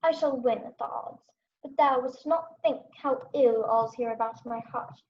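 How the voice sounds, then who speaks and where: A woman's voice: on the high side, moderate pace, natural voice. A young woman playing a resolute, brooding young prince in a Shakespearean radio play. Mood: brooding, resigned. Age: young adult. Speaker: student actor. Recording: muffled, noisy home recording.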